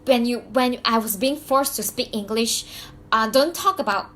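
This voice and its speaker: A woman's voice: a little high, moderate pace, fairly bright tone, slight background noise. A woman from Malaysia with a Malaysian accent, whose first language is Mandarin, telling her own story to camera in a chatty way with many fillers and restarts. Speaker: vlogger and coach.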